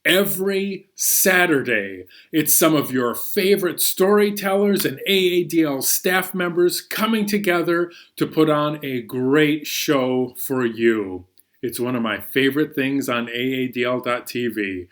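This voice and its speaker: A man's voice: a little high, measured pace, a bit bright. An American man hosting a story time for young children, playful and exclaiming as he introduces songs and stories.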